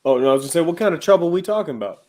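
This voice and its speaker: A man's voice: on the high side, animated, very fast, a little mellow. Easygoing slangy podcast host in his thirties. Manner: easygoing, slangy, frequent "uh" fillers. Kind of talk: casual interview chat.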